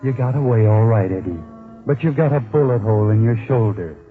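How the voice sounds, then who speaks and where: Actor as sardonic 1940s radio suspense narrator, tinny. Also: male voice, mid-range voice, a little fast, lightly acted. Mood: grim. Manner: mocking, dramatic second-person address. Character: sardonic, menacing male narrator taunting a criminal. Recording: tinny 1940s radio recording, music behind. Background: dramatic music.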